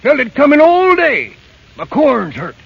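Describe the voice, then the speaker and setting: An actor playing a grouchy, gloomy old dwarf, suspicious and scolding, with a gravelly, crotchety voice in old radio drama style. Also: male voice, very high voice, huge pitch swings, unhurried, full character voice. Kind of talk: 1930s radio drama acting. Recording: noisy muffled 1930s radio broadcast.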